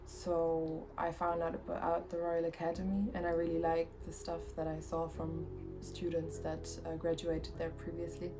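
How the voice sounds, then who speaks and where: A woman's voice: low-pitched, completely flat delivery, moderate pace, few pauses. A woman in her twenties from Germany, a fashion student giving plain, matter-of-fact interview answers. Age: 20s. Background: music.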